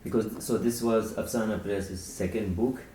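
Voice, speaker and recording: A man with a low, dark voice and non-native English discusses literature in a halting, informal way. He sounds a little away from the mic in an echoey room. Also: steady tone. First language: not English. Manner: halting, searching for words. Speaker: author discussing a book. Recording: echoey room, distant mic, faint noise.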